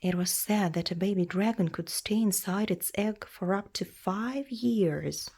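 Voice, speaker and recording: A woman reading a children's storybook aloud in a measured, expressive storytelling way. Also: medium pitch, husky. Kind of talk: read-aloud storybook narration.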